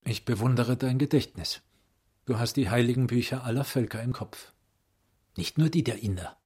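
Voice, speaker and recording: An actor in a radio play playing a philosophical, probing psychiatrist with an eloquent, rhetorical manner. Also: male voice, medium pitch, moderate pace, darkish tone, husky. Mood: probing, admiring. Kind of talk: radio drama acting, literary monologue.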